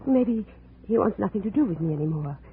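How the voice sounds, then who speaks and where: Lonely, intense wife, played by an actress: creaky voice, formal and melodramatic, on thin old radio sound. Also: female voice, medium pitch, animated, natural voice. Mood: wistful, stubborn. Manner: slow, formal, melodramatic, without contractions. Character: brooding, lonely abandoned wife, haunted and intense. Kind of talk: 1950s radio drama acting. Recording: tinny, noisy old radio recording.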